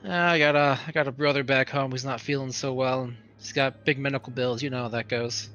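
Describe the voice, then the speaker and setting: A man playing a worried, grudging space freighter worker in a tabletop game, speaking casually and haltingly. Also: mid-range voice, steady tone, sharp tone, lo-fi sound, close to an everyday voice. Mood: uneasy, evasive. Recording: background noise.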